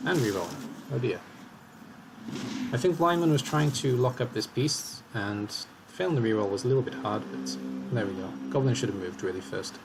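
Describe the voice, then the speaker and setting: Man, calm analytical game commentary, slightly hoarse, mid-pitched, noisy background hiss. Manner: calm, analytical, talks through his moves. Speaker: player commentating his own match.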